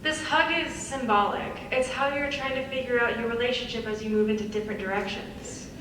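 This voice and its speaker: A woman acting as a calm, probing therapist in a live script reading, measured and questioning. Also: fairly high pitch, lightly acted. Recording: distant echoey hall, clear background noise.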